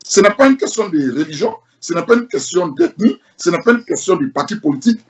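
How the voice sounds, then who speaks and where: A man's voice: high voice, sing-song, a little fast. A man organizing a diaspora protest, making an impassioned political appeal with insistent repeated phrases. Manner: repetitive, rising rhetorical insistence.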